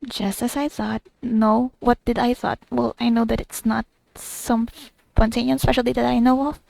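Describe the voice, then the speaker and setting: Female game streamer, very gravelly fry on a higher voice, offhand muttered reactions while thinking out loud. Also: little pitch movement, normal speed, no room sound, poor audio. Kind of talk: casual gameplay commentary.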